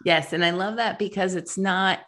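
A woman's voice: lowish voice, steady tone, normal speed, fairly bright tone. A woman hosting an interview podcast, introducing topics and asking her guest questions. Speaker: podcast host.

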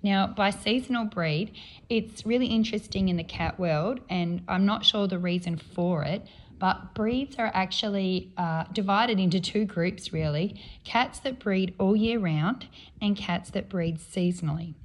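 A woman, croaky from a cold, hosting a podcast and explaining things in a chatty, off-the-cuff way with frequent ums. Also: mid-range voice, unhurried. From Australia. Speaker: podcast host and cat breeder.

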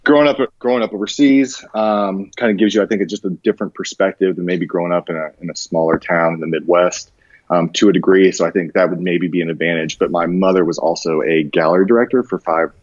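A man's voice: sits low, steady tone, quick pace, no echo, dull audio. A man in his thirties chatting informally as an interview guest, slangy and self-deprecating. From grew up mostly overseas in Japan. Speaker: podcast guest, branding designer. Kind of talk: casual interview conversation, personal anecdotes.

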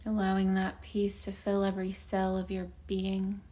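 A woman leading a guided meditation in a slow, soothing delivery, with long pauses and softly breathy phrase endings. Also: medium pitch, completely flat delivery.

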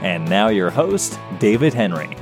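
A man's voice: fairly low pitch, big pitch swings, measured pace. Man announcing a podcast over guitar. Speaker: show announcer. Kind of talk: scripted podcast intro. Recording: intro with guitar music.

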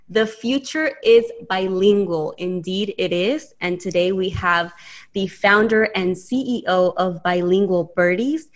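A woman's voice: mid-range voice, fairly bright tone. A woman hosting a podcast, upbeat, dropping Spanish phrases into her English. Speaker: podcast host. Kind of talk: scripted podcast intro and interview.

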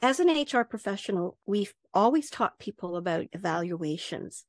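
A middle-aged woman speaks in an animated, storytelling way, jumping up in pitch whenever she acts out what others say. The sound is close and dry, with no room echo. Her voice sits at an ordinary middle pitch. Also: moderate pace. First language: English. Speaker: guest, HR professional and certified advisor. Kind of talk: conversational interview.

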